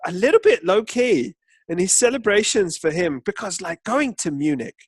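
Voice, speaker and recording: Male podcast co-host, blokey and sweary, higher-pitched, casual football chat, close dry sound. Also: animated, moderate pace, breathy edge, dry recording.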